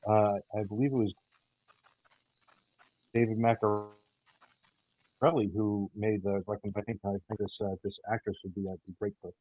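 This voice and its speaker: A man telling anecdotes casually as a guest, halting often with stumbles and repeats. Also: on the low side, level tone, dry recording. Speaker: interview guest, audio theater team member. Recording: dull, phone-like call audio.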